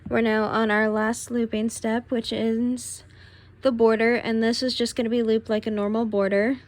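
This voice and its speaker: A young woman with a tired, raspy voice, casually talking through a craft tutorial step by step while she works. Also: on the high side, normal speed. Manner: relaxed, talks while working with hands.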